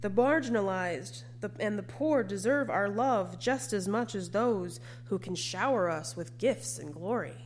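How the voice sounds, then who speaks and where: A woman preacher delivering a scripted sermon in an earnest, measured way, often posing rhetorical questions. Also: mid-range voice, animated, a little hiss or hum.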